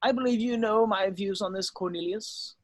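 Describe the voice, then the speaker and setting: A man playing a calm, courteous old wizard headmaster, measured and assured, in a table read. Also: high-pitched, no echo. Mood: pointed. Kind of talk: amateur online table read of a screenplay.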